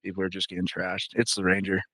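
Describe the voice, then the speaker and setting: A young man with a fairly low voice talks in a relaxed, rambling way, cursing often as he tells stories. The recording is dry and close, with no room echo. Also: fast talker. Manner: laid-back, swears freely, rambling storyteller. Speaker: musician guest. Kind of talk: casual interview chat.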